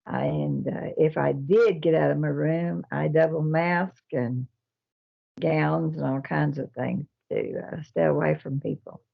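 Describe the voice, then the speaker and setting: An older woman from Texas, a care home resident answering interview questions in a slow, halting way with many fillers. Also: low-pitched, steady tone, creaky. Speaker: nursing home resident and resident council president. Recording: dull lo-fi recording.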